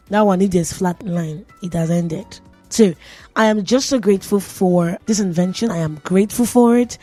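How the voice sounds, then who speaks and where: A woman's voice: medium pitch, mellow. A woman with a Nigerian accent hosting a radio show, talking in a chatty, playful way and often laughing. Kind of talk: casual radio tech talk. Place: radio studio.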